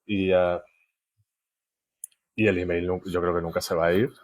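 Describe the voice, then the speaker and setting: A young man from Spain with a Málaga accent, chatting casually as a podcast guest, relaxed, with lots of fillers and easy laughter. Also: deep voice, normal speed. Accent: Andalusian Spanish from Málaga. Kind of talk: casual interview chat.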